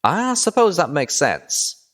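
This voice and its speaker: A man's voice: a little high, wildly swooping pitch, takes it slowly, fairly bright tone, pitched above the performer's own voice, exaggerated voice. A man voicing a teasing, easygoing male pony cowboy in an audiobook, with a wry, folksy manner. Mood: amused.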